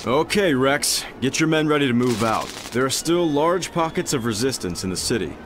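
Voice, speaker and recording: An actor playing a confident young Jedi general and mentor in an animated game, commanding and wry. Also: male voice, mid-range voice, normal speed, background noise, close to an everyday voice. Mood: brisk, assured. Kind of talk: animated game cutscene acting.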